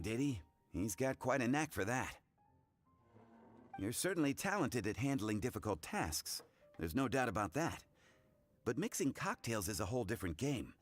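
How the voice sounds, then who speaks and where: An actor playing a friendly, seasoned bartender in a video game, talking in an affable, instructive way. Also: male voice, mid-range voice. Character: friendly, seasoned male bartender mentoring beginners.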